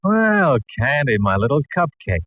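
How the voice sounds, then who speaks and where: An American actor playing a wisecracking city police inspector in a 1940s radio drama, with dry, teasing banter. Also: male voice, a little high, very animated, a little slow, very noisy. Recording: dull vintage radio recording.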